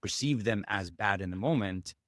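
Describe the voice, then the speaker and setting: A man's voice: on the low side, normal speed, a bit bright, no room sound, clean studio sound. A man hosting an interview podcast, musing thoughtfully, often with hesitant restarts. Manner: thoughtful, hesitant with repeated starts. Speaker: podcast host. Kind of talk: conversational interview.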